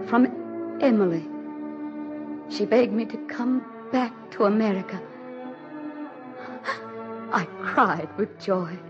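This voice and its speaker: Woman acting weary grieving old widow, old time radio drama, real slow n theatrical, muffled old recording, music under it. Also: medium pitch, natural voice. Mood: tearful joy. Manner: theatrical, emotional, drawn-out phrasing. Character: aging grieving presidential widow, weary, wistful, haunted, fragile. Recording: dull vintage 1940s radio recording.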